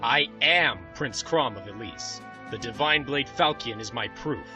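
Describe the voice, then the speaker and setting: A man acting a commanding, earnest young warrior prince in an audio drama, firm and measured. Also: mid-range voice, recorded from a distance, over music, close to an everyday voice. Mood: stern.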